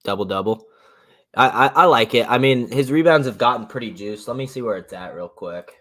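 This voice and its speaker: A young man with a raspy voice co-hosting a casual sports betting livestream, laid-back and agreeable. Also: medium pitch, brisk, a bit bright. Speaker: sports betting stream co-host.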